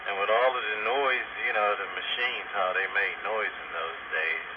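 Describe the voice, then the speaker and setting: A man's voice: lowish voice, fairly even intonation, normal speed. An older man telling stories in a casual, folksy way over a two-way radio chat. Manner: folksy, often says "man". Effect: radio transmission. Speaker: amateur radio operator in a group chat. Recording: muffled noisy two-way radio transmission.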